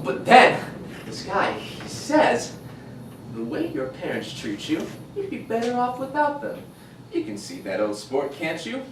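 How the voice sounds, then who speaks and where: A man's voice: high voice, wildly swooping pitch, unhurried, heavily acted. A man playing a naive, defensive young man who rambles, hesitates and swears a lot in a dramatic scene. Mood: animated storytelling. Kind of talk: dramatic acting. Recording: very echoey room, distant mic, noisy background.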